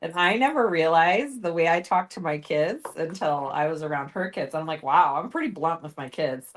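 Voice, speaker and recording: Host: bubbly woman, animated pitch, laughs a lot, reads viewer comments aloud. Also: normal speed. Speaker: host of a live sewing stream. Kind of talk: casual live-stream chat.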